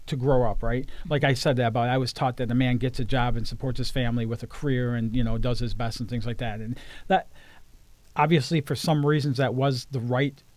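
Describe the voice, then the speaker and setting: A man's voice: medium pitch, fairly even intonation, brisk, darkish tone, no room sound. A man, a life coach being interviewed, talking earnestly about his work and often repeating words as he starts a sentence. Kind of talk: casual interview conversation.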